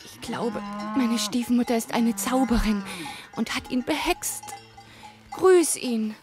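A gentle, slow-spoken young princess. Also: female voice, on the high side. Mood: fearful, sad. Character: gentle, innocent young princess in a fairy tale. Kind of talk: German radio drama acting.

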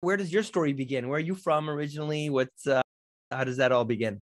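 A man's voice: fairly high pitch, quick pace. A man in his thirties hosting a casual live interview over a video call, chatty and upbeat, often restarting his sentences. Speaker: podcast host. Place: home studio. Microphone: studio mic on a boom, seen in frame.

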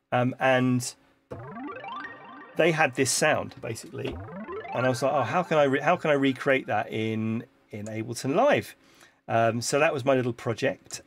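A middle-aged man with a gravelly, creaky voice walks through his production process on a live stream. He explains in a relaxed way, full of ums and restarts. He talks from a home studio while faint synth music plays under his voice. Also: mid-range voice. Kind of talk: casual live-stream track breakdown. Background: faint synth music from the session.

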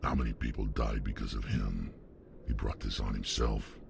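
A man voicing a huge, ruthless alien mercenary in a video game, deep and gravelly, blunt and menacing. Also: steady tone, normal speed, background noise. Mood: grim, menacing.

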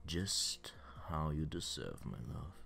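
A man voicing a seductive, domineering incubus demon in an ASMR roleplay, teasing in an intimate, breathy murmur that often drops to a whisper. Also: a bass voice, sharp tone, full character voice. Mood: commanding, teasing. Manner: slow, teasing, commanding.

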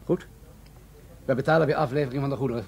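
An actor playing a composed, authoritative drug buyer, clipped and businesslike, in a Dutch radio play. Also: male voice, medium pitch, quick pace, natural voice. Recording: noisy radio drama recording.

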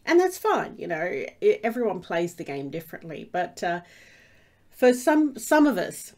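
Stuffy-voiced woman gamer, lowish pitch. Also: sing-song, measured pace, a little mellow. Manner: rambling, many fillers and restarts. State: stuffy from a cold. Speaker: Minecraft let's play host. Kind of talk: casual gameplay commentary over a time lapse.

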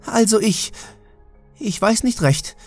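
Man putting on a shrill, haughty old lady. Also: lively intonation, takes it slowly, faint music behind, heavily acted. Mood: confused, anxious. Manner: imperious, complaining. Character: haughty, forgetful, demanding fat old lady patient. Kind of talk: horror audiobook character voice.

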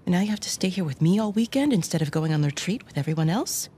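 A woman playing a stern, weary nun lecturing in a measured, reproachful way in a video game cutscene. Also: lowish voice, lively intonation, mellow, a little hiss or hum, natural voice. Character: stern, weary nun scolding a boy in her care.

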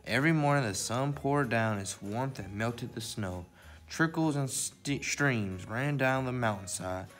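A man's voice: medium pitch, animated, a little slow, a bit bright. A man hosting a library story time, presenting to children in the manner of a read-aloud and simple how-to.

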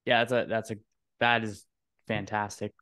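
A man in his thirties hosting a podcast, asking measured questions with some "uh" restarts, into a studio mic in a home room. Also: lowish voice, level tone, pushed and bright, smooth. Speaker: podcast host. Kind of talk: interview questions. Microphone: studio mic with headphones.

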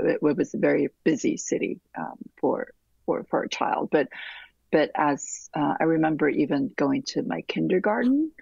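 A middle-aged woman from Taiwan, an architect answering interview questions in a thoughtful way, with many restarts and soft trailing fillers, heard over a video call. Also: deep voice, vocal fry, slightly rough, dry recording, lo-fi sound. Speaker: architect guest. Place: home room with bookshelves, remote call.

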